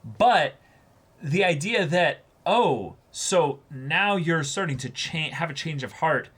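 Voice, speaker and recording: A man's voice: on the high side, animated, takes it slowly, fairly bright tone, breathy edge. A man co-hosting a casual discussion show, musing aloud in broken, trailing phrases. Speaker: co-host of an anime reaction discussion show.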